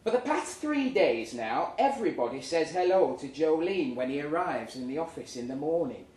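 A British man reads a comic story aloud in an expressive, theatrical storytelling manner at a live pub event. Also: a little high, normal speed. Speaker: reader at a live literary event. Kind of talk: dramatic comic story reading to an audience. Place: pub event room, live before an audience. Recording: roomy live pub recording, distant, faint noise. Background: faint room ambience, audience.

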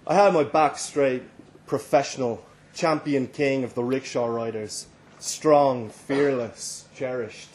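A man's voice: mid-range voice, slow pace, darkish tone. A man with an Irish accent reading a first-person story at a live literary event. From Ireland. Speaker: story reader. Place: live reading at a London venue. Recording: live event recording, faint background noise.

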